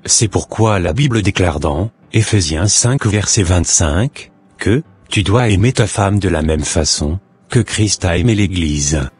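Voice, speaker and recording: A wise, moralizing neighbor who lectures earnestly on marriage. His voice is very deep and husky, with soft music in the background. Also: animated, unhurried, exaggerated voice. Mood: counseling. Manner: earnest, preachy, counseling.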